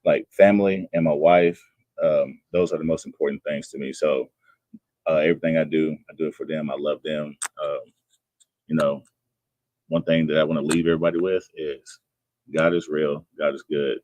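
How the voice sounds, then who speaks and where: Very deep-voiced man, earnest. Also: fairly even intonation, moderate pace, darkish tone, no echo, muffled recording. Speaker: personal trainer, guest. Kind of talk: earnest conversational interview.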